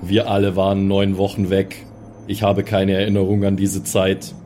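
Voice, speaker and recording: A man's voice: low voice, monotone, normal speed, warm tone, soft background music, close to an everyday voice. A man voicing a cold, literal-minded killer robot in an improvised audio drama, with clipped, formal, emotionless phrasing. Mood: flat, analytical.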